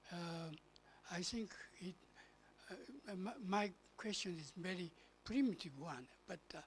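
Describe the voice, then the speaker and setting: A person in the audience asking a halting question, quiet and full of fillers. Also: mid-range voice, lively intonation, slight background noise.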